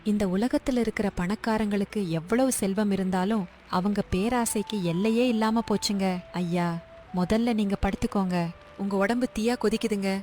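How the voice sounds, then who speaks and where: A woman's voice: mid-range voice, fairly even intonation, normal speed, a little mellow, slight background noise, natural voice. A woman acting an anxious, devoted poor village wife in a story drama, worried and imploring. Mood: indignant, caring.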